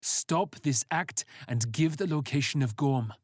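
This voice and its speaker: A man's voice: fairly high pitch, animated, a little slow, no room sound. Watchful male operative, voiced by a narrator, direct, understated, audiobook hero, dry studio-like sound. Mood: firm. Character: terse, watchful male agent in a sci-fi story.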